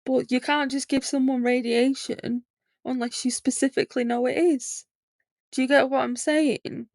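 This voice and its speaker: A woman's voice: high-pitched, fairly even intonation, normal speed, gravelly, darkish tone, no room sound. A woman in her late twenties hosting a podcast, talking candidly in a rambling personal monologue with lots of fillers. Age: 20s. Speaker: podcast host.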